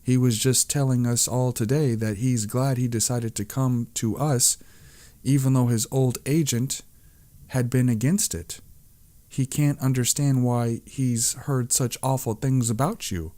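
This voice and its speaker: A man's voice: mid-range voice, steady tone, measured pace, mellow, natural voice. A man voicing a cheerful, blunt, oblivious woman in publishing, earnest and tactless, in an audiobook. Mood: contrite, tactless.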